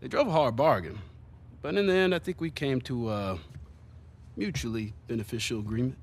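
A man's voice: mid-range voice, brisk, breathy edge. A man playing a genial, middle-aged business owner in a video game, folksy and pleased. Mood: pleased, relaxed. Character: contented, genial small-business boss eyeing retirement. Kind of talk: video game cutscene acting.

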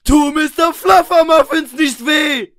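A man's voice: very high-pitched, fairly even intonation, unhurried, music in the background. A man voicing an earnest, eager young monster trainer in a cartoon fandub, dramatic and exclamatory. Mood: urgent, eager.

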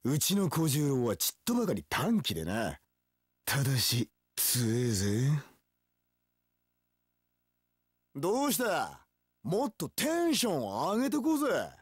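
An actor playing a cocky, cool young samurai warlord in a video game, with rough tough-guy speech and English catchphrases. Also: medium pitch, wildly swooping pitch, moderate pace, dry recording, clean studio sound. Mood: cool, confident. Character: cocky, cool young male samurai warlord, swaggering one-eyed dragon. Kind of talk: video game battle voice lines.

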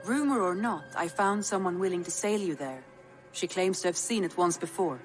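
A woman playing a calm, caring Viking counsellor in a video game, composed and advising. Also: lowish voice, moderate pace, soft background music, close to an everyday voice. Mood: concerned. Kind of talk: video game cutscene acting. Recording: faint background ambience.

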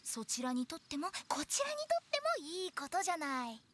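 An actress playing a playful, teasing young funeral director in an anime game, with theatrical, sing-song sales talk. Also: female voice, high voice, big pitch swings, fairly quick, noisy background. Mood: persuasive. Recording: clean studio voice over game music.

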